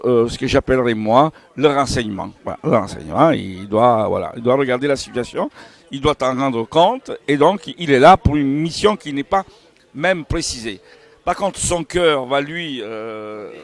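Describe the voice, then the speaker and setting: A male author talks off the cuff about his novels at a medium pitch. He often pauses to search for words and wanders into side thoughts, as someone explaining his work in an interview. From Italian family background. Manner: halting, searching for words, digressive. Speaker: novelist presenting his books. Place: book festival.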